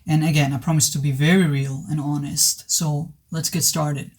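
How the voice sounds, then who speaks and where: Male reviewer, pitch a bit high, voice worn from sickness, sincere and stop-start. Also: level tone, normal speed. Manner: hesitant, many restarts, earnest. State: voice worn from recent illness. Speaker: movie reviewer. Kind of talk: casual spoken film review.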